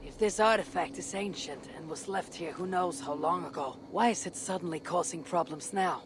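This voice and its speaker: A woman's voice: on the low side, normal speed, noisy background, close to an everyday voice. An actress playing a blunt, impatient, skeptical female warrior in a video game, with a dry and curt delivery. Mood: skeptical, businesslike. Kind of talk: video game character dialogue.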